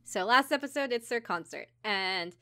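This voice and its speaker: A woman's voice: mid-range voice, lively intonation, moderate pace, bright, forceful tone, no echo, studio quality. A woman hosting a podcast, chatting casually and acting out bits as she recaps a show. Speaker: podcast host. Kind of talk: casual chat recapping an anime.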